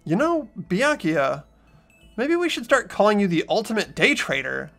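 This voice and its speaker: A man voicing an earnest, easily amazed ordinary high school boy in a visual novel game, questioning and incredulous. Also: very animated, normal speed, creaky, a bit bright, faint music behind, exaggerated voice.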